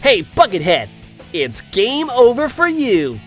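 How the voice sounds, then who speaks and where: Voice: mid-range voice, wildly swooping pitch, takes it slowly, fairly bright tone, soft background music, heavily acted. An actor voicing a cocky, upbeat young male hedgehog hero with a breezy manner in a fan audio drama. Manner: breezy, taunting.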